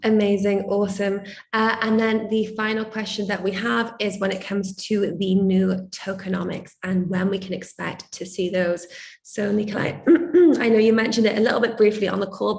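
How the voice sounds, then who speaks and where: A woman moderating an online community call, upbeat and enthusiastic, her voice slightly croaky. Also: mid-range voice, moderate pace, a little mellow, echoey room.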